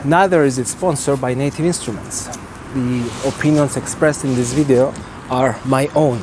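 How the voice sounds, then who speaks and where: A middle-aged man giving a casual, tongue-in-cheek vlog explanation to camera, speaking informally and deliberately. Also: mid-range voice. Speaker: video host and music producer. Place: outdoors, park woodland and street. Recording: outdoor ambient noise.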